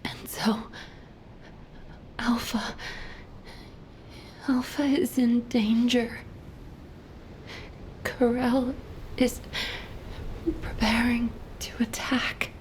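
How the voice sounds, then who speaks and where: Weakened female game soldier, extremely slow, breathy, halting, anguished, fairly high voice, faint noise. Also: little pitch movement, rough recording. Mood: weak, urgent. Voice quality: breathy, whispery. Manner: halting, faint, broken phrases. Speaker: video game character actor. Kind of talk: dramatic video game cutscene acting.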